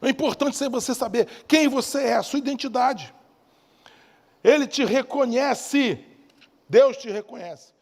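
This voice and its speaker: A man with a Rio de Janeiro Brazilian accent and a husky voice preaches an evangelical sermon emphatically, repeating key phrases and asking rhetorical questions. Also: extremely high pitch, measured pace. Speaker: preacher, bishop. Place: church service in a large hall. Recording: reverberant hall sound.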